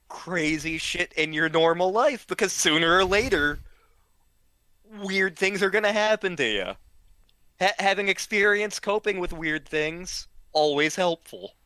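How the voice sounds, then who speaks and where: A man telling humorous anecdotes casually, in a rambling, halting and giggly way. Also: high-pitched, pushed and bright, clean tone, no echo. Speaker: storyteller, regular of the show.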